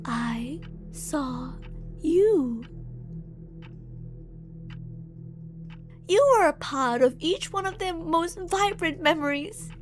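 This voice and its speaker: A woman's voice: sits high, animated, a little hiss or hum. A woman voicing an obsessive, menacing shapeshifting monster who coaxes and taunts intimately in an ASMR horror roleplay. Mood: tender, intense. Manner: intimate, taunting, possessive, with drawn-out phrases. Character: obsessive female shapeshifting monster posing as a lover, menacing, coaxing.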